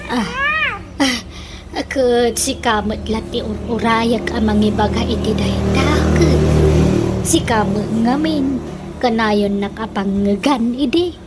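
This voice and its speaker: A woman playing an excitable, scolding mother in a comedic radio drama, exclaiming and fussing. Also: on the high side, measured pace, lightly acted. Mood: fussing, complaining. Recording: very noisy radio drama track.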